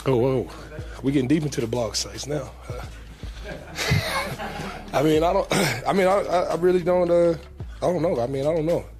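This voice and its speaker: A young man, a pro football player, answering reporters at a press conference in a relaxed, casual way, with many fillers and repeated words. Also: on the high side, normal speed, long pauses, faint music behind. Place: team press room, indoors. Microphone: table mic in front.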